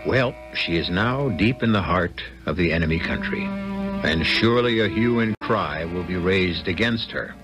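A man's voice: sits low, expressive, measured pace. A man hosting and narrating a radio mystery drama in a dramatic, confiding manner. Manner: dramatic, confiding, addresses listener. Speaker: host and narrator. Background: music.

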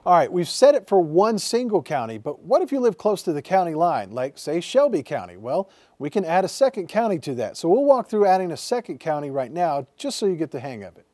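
A man's voice: a little high, very animated, a little fast, hardly any pauses, a little mellow, dry recording. A man, a TV meteorologist walking viewers step by step through setting up a device in a studio. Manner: friendly step-by-step explaining, handling a radio. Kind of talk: instructional broadcast demo. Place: TV weather studio.

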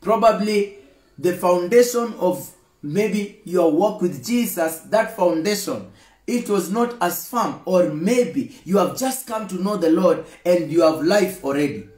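A man's voice: sits high, animated, a little slow, darkish tone, echoey room. A Kenyan man in his thirties preaching a daily devotional with emphatic, repetitive phrases and lots of gestures, filmed close up on a phone camera indoors. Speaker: pastor. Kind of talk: livestreamed daily devotional sermon. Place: indoor room with screen and flowers.

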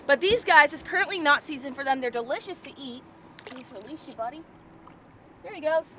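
An American woman in her thirties chatting casually and excitably as a fishing host, recorded outdoors on a small boat. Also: sits high, slight background noise. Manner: excitable, exclamatory, informal. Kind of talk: casual vlog chat, explaining to viewers. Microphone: action camera at arm's length or a few feet away.

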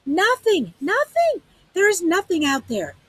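A woman voicing a frightened, worried grown man who rambles very fast in a weak, trembly voice, hardly stopping for breath. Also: very high voice, sing-song, slightly rough, no echo, voice pushed up, full character voice. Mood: shouting.

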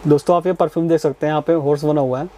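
A man's voice: on the high side, steady tone, racing, background noise. A man hosting a walkthrough of a wholesale perfume shop, eagerly repeating prices back to his viewers. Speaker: market video host. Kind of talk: casual market walkthrough.

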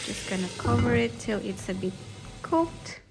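A woman narrating a home cooking video step by step in a voice-over, calm and unhurried. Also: on the high side, gravelly, loud music behind the voice. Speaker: home cook vlogger. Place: home kitchen, food sizzling in pan. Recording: audible kitchen noise behind.

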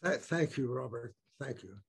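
An older man on a home video call, reading his poems in a deliberate recitation after a halting introduction. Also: medium pitch, lively intonation, creaky, slightly rough. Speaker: poet reading his work. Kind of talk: poetry reading. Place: home room.

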